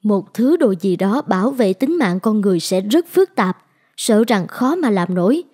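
A woman playing a worried, cautious wife in an animated story, earnest and measured. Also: medium pitch, slightly rough. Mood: concerned. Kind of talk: dubbed cartoon dialogue.

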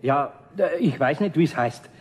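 Folksy Bavarian master carpenter, fairly high voice. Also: male voice, lively intonation, unhurried. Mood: flustered. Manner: folksy, blunt. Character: gruff, good-natured older male master carpenter. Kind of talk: 1970s German radio play acting.

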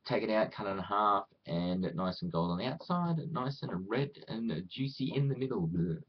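Deep aussie guy rambling on his vlog, kinda husky and unclear voice, dull lo-fi sound. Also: expressive, moderate pace. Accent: Australian. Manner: rambling, self-deprecating, often acting out imagined quotes. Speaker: vlogger. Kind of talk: casual chat to camera.

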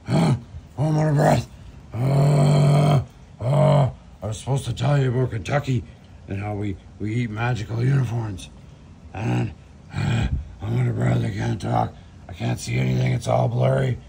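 A man's voice: medium pitch, gravelly, a little mellow, breathy edge, pitched below the performer's own voice, exaggerated voice. A man voicing a lost, bewildered comic character who is out of breath and rambling in confusion. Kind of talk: comic sketch sign-off.

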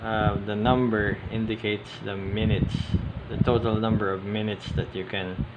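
A man giving a casual, unscripted show-and-tell commentary, speaking haltingly with frequent pauses mid-sentence. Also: fairly low pitch, highs cut off. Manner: halting, hesitant, pausing often mid-sentence. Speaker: hobbyist video host. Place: indoors at a tabletop. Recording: steady loud air-conditioning hiss, handling clicks. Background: loud background noise, clicks.

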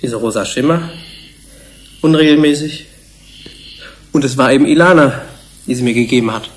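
Male actor as reflective researcher, middle-pitched voice, reticent, halting phrases, radio play. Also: lightly acted, poor audio. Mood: wistful, subdued.

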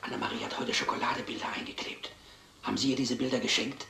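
An actor playing a stubborn, protective former police detective with a dry, measured, firm manner. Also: male voice, medium pitch, fairly even intonation, creaky, natural voice. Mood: guarded. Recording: echoey film sound, faint background noise.

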